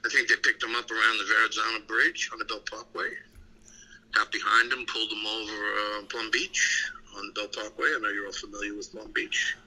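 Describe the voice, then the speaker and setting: A man, a retired detective guest, telling stories in a measured, deliberate way on an interview show. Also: fairly low pitch, little pitch movement, bright, forceful tone, poor audio. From Irish heritage. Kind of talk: casual interview talk.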